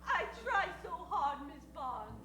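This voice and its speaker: Super high squeaky earnest young princess, slow careful talker, radio western comedy, echoey. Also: female voice, sing-song, sharp tone, faint music behind, exaggerated voice. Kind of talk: improvised old-time radio comedy acting. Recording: echoey live stage.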